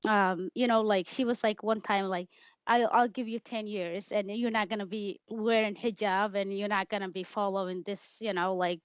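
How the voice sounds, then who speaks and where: A woman's voice: mid-range voice, no echo, highs cut off. Somali-born woman speaking with many hesitations. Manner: hesitant, many fillers and restarts, laughs easily. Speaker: interview guest. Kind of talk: candid personal interview.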